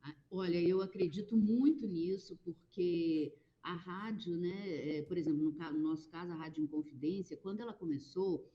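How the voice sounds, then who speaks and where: A woman's voice: on the low side, moderate pace, highs cut off. A Brazilian woman guest from a radio station answering eagerly, telling its history. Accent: Brazilian Portuguese. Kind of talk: conversational interview answer.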